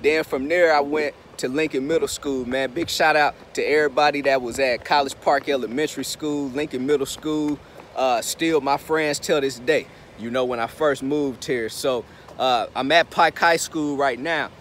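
A man's voice: mid-range voice, steady tone, moderate pace, fairly bright tone, slight background noise. A man in his thirties from Indiana, giving an upbeat, preachy pep talk while filming himself on a phone held at arm's length, outdoors and in a truck. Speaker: vlogger and business mentor. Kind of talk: casual motivational vlog talk. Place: outdoors by schools, then inside a pickup truck. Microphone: phone camera at arm's length.

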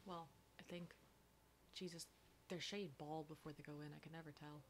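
A woman playing a foul-mouthed, sardonic apocalypse survivor in an ASMR horror roleplay, breathy, dry and often whispering. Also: low-pitched, fairly even intonation, slight background noise, natural voice. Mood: wary, casual. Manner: sweary, dry, unhurried.

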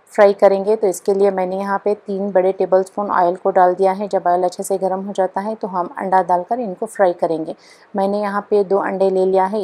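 A woman hosting her cooking channel, narrating a recipe step by step in a calm, instructional voice-over. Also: medium pitch.

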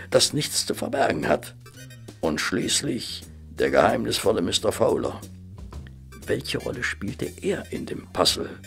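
A genial, comfort-loving gentleman companion in a German audio drama. The voice is low and gravelly, with an expressive, courteous storyteller's manner and wide swings in tone. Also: full character voice.

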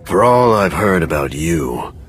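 A man playing a smug, menacing video game villain with a raspy voice and a taunting, self-satisfied manner. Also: big pitch swings, measured pace, slight background noise. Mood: smug, threatening. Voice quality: very deep, gravelly rasp. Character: smug, menacing villain, cunning bomb-maker.

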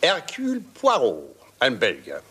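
An actor playing a stern, commanding old English lord in a German radio crime play, speaking deliberately and with authority. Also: male voice, mid-range voice, wildly swooping pitch, pushed and bright, breathy edge, heavily acted. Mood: grave, controlled. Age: older.

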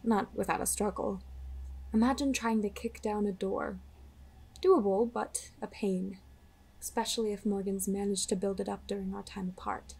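A woman playing a bold, teasing, commanding witch with a husky, breathy voice in an audio roleplay. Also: mid-range voice, steady tone, moderate pace, a little mellow, rough recording. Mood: confident.

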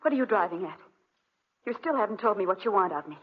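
Proud, anguished young blind woman: dramatic, guarded, husky delivery, vintage radio melodrama. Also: mid-range voice, lively intonation, fast talker. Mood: wary, proud. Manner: dramatic, defensive. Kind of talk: 1940s radio acting. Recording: muffled vintage 1940s radio recording.

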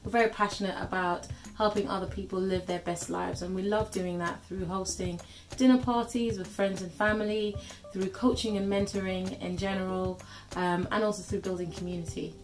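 Friendly woman co-hosting over soft music. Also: medium pitch, fairly even intonation, moderate pace. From Germany. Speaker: channel co-host. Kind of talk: scripted channel introduction. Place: living room, on a sofa. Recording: light music underneath. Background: faint background music.

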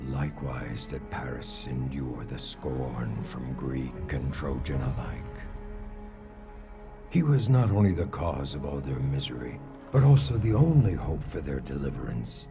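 An actor as the grave storyteller of an ancient Greek legend in a video game, narrating in a solemn, measured way. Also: male voice, very deep voice, lively intonation, vocal fry, music in the background, full character voice. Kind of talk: scripted epic narration.

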